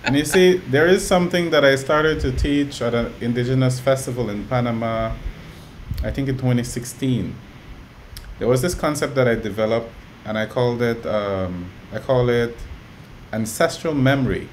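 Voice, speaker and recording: A man talking as a guest, calmly explaining ideas and often checking in with "you know.". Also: medium pitch, moderate pace, slight background noise, rough recording. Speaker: guest musician and educator. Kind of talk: relaxed conversational storytelling.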